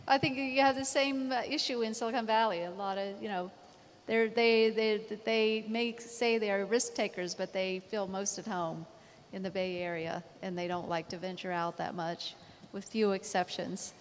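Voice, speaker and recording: A woman with a medium-pitched voice moderates a panel. She questions in a conversational, slightly halting way, rephrasing as she goes. The long reverb of a hall is clear around her. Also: fairly even intonation, slight background noise.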